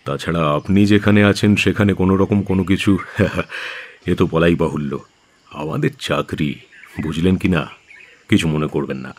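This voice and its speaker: A man's voice: low voice, normal speed, long pauses, darkish tone, slightly rough. A man playing a polite, apologetic but insistent police inspector in an audio drama, speaking confidentially.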